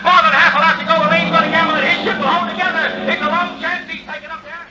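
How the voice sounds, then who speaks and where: Distant, high-pitched race announcer. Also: male voice, little pitch movement, fast talker. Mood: excited. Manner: declamatory, urgent. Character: excited air race announcer on loudspeaker. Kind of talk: race commentary in 1940s radio drama. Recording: noisy, distant, echoing old radio recording.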